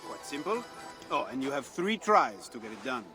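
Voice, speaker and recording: A man's voice: mid-range voice, sing-song, moderate pace, close to an everyday voice. An actor playing a playful, pleasure-loving Frankish man in a video game, with a teasing, suave and theatrical manner. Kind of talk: dramatic video game cutscene acting. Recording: music under the voice.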